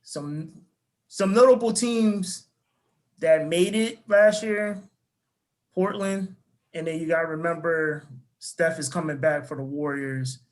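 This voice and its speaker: A man with a somewhat raised voice hosts a casual sports podcast. He moves the talk along briskly, reading through a list and inviting the others to weigh in. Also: a little high, poor audio. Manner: talks fast, steers the segment. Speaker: podcast host.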